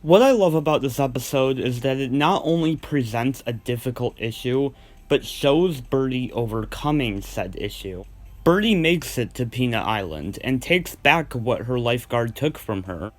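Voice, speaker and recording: A man's voice: mid-range voice, expressive, moderate pace. A man hosting a video countdown, giving scripted review commentary in an informal, earnest way and stressing key words. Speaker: host of a video list of cartoon episodes.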